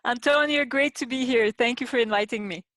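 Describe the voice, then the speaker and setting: A woman, an industrial designer answering as a podcast guest, explaining her work in a measured, thoughtful way with pauses. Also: a little high, fairly bright tone, no room sound. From Turkey. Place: home in the city, sheltering in place.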